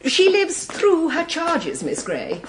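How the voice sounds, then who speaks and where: A woman's voice: very high voice, wildly swooping pitch, slow pace, slight background noise, full character voice, rough recording. An actress playing a haughty, condescending middle-aged English mother with an upper-class accent and a pompous manner in a period audio drama. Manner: pompous, patronising.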